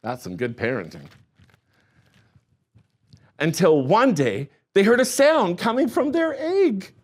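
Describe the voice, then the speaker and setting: List: man, storytime reader, higher voice, very lively pitch, slow and expressive, playful asides. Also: breathy edge. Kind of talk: reading a picture book aloud to children.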